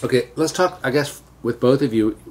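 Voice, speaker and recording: A middle-aged man with a Southern American accent, a teacher hosting a relaxed, chatty interview and telling anecdotes. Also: medium pitch, normal speed, a little hiss or hum. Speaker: podcast host and teacher. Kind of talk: casual conversational interview.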